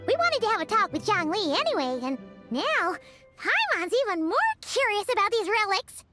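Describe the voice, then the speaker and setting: Game mascot: eager childlike female companion, squeaky top-register voice, swooping intonation, chatty. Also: big pitch swings, moderate pace, over music. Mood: eager, curious. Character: tiny, chirpy, childlike female floating companion. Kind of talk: scripted video game dialogue.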